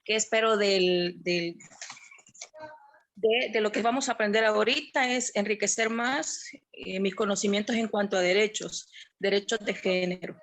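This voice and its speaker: A woman's voice: mid-range voice, moderate pace, a bit bright. A woman, a psychologist, introducing herself earnestly on a video call. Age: 40s. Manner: earnest, thoughtful self-introduction. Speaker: workshop participant, psychologist.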